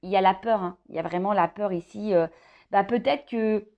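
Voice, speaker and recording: A woman's voice: medium pitch, brisk, dull audio. A woman giving an informal, improvised tarot reading straight to the listener, thinking aloud with hesitations, repeats and little tag questions. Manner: hesitant, thinking aloud, with frequent "hein" tags and repeated phrases. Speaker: tarot card reader hosting a love horoscope reading. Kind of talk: informal improvised tarot guidance addressed to the viewer.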